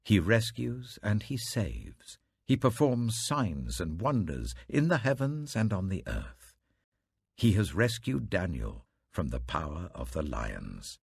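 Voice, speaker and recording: A man's voice: low voice, big pitch swings, slow, vocal fry, breathy edge, dry recording, heavily acted. A man voicing an earnest, devout ancient king with grand, emphatic declamation in a scripture story. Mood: reverent, proclaiming.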